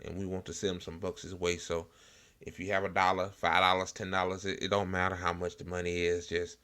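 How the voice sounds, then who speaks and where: American guy doing casual political talk, deep but bright voice, kinda halting and blunt. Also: completely flat delivery, clear, resonant tone. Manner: informal, hesitant, halting delivery with blunt slang. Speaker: host of a political commentary show. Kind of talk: casual unscripted political commentary.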